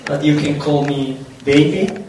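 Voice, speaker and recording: A young man from Colombia, giving a personal testimony to a gathering in a nervous, halting, self-deprecating way. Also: on the high side, fairly even intonation, a little mellow. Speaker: church testimony speaker. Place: reverberant room, speaking to a gathering. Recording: echoey room, distant mic, faint noise.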